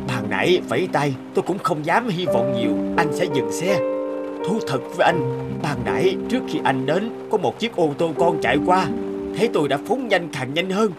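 A man acts out an anxious stranded traveller in a cartoon, a big fellow with a highish, very animated voice. He sounds plaintive, hesitant and thankful, over loud music. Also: moderate pace, close to an everyday voice. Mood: relieved, grateful. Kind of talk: cartoon voice acting.

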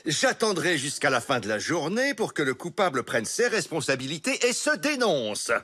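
A man playing a pompous, severe middle-aged school headmaster in a cartoon, husky and emphatic. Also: on the high side, very animated, moderate pace, sharp tone, heavily acted. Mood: indignant, stern. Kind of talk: animated children's series dub.

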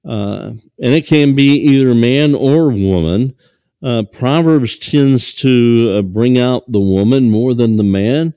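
An older man in his sixties preaches to a small church congregation from behind a lectern. His voice is dark and mellow. He talks haltingly, full of fillers and restarts, and the stand mic catches him dry and close. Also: mid-range voice, clear, resonant tone, dry recording. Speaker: pastor. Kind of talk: sermon.

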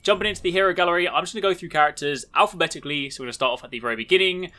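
A man hosts a gaming video in a casual, enthusiastic way. His voice is bright and forceful. He talks rapidly as he walks viewers through what is on show. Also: sits high. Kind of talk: upbeat video walkthrough commentary.

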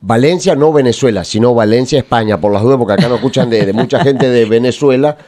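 A man from Argentina, co-hosting a morning radio show in a studio, chatty and quick to interject. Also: medium pitch, crowd noise. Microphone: studio microphone.

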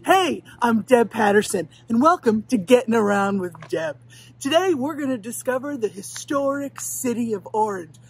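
A woman's voice: on the high side, animated, normal speed, a little mellow, husky. A woman playing a folksy, slightly awkward hostess of a parody local travel show, outdoors. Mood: chipper. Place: outdoors on a town street.

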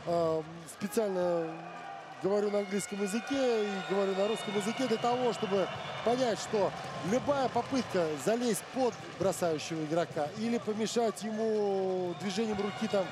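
A high-voiced man breaks down a basketball game in careful, hesitant sentences, pausing often with fillers. Loud arena noise rumbles behind his voice. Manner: analytical, halting with many fillers. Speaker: expert co-commentator. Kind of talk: live basketball commentary.

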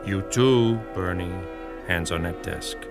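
A man's voice: deep voice, slow, music in the background. An American man playing a cocky, defiant gangster in a radio drama, curt, wisecracking and hard-boiled. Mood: defiant, threatening. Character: cocky, defiant, tough gangster in fancy shoes.

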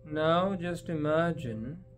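A man leading a guided meditation in a gentle, unhurried manner, leaving long pauses between phrases. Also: on the high side, soft background music.

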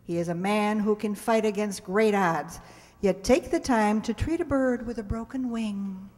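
An older woman, around her seventies, introduces a show from a script. Her pitch is average and her delivery measured with dry asides, carried through a stage microphone into a reverberant hall. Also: a little mellow. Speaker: presenter introducing a stage radio play. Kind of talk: scripted introduction read to an audience. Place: stage in a community hall, indoors. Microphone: handheld-style microphone on a stand.

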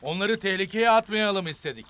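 An actor playing a kindly, encouraging leader of a nomad camp in an animated children's series. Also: male voice, high-pitched, a little fast, smooth. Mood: steady, instructing.

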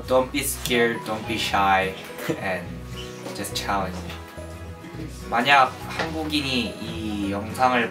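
Fast-talking teenage student. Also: medium pitch. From Korea. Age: teens. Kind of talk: interview testimony. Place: school office, seated. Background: guitar music.

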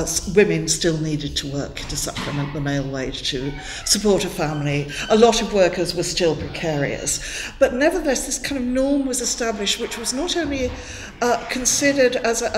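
A woman's voice: medium pitch, slight background noise. An older woman from Wales, a native Welsh speaker, giving an academic lecture in a classroom on a headset mic, thoughtful, with many fillers and restarts. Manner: thoughtful, many fillers and restarts, gestures a lot with both hands. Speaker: guest lecturer. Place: classroom in front of a chalkboard. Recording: echoey room with long reverb.

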